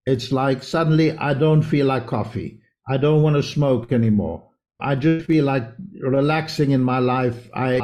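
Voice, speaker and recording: A man from South Africa who grew up in Israel talks as an interview guest. His voice is soft and dark in tone, and he explains things earnestly in long, winding answers. His pitch sits at an ordinary middle level for a man. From South Africa, raised in Israel. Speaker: guest homeopath. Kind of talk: conversational interview.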